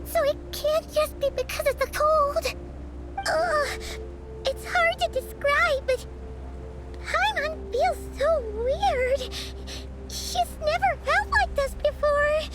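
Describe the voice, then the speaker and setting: A very high, squeaky little fairy girl from a video game. She is childlike and plaintive, drawing her words out slowly. Loud music plays behind her. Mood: uneasy, weary. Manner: speaks of herself in third person, plaintive. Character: tiny floating fairy girl companion, childlike, chirpy, weary.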